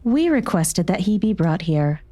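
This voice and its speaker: Cold, imperious low-voiced woman. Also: big pitch swings, a little slow, warm tone, no echo. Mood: cold, commanding. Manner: formal, controlled, veiled threat. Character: cold, imperious woman doctor leading a secretive order. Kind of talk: dramatic audiobook acting.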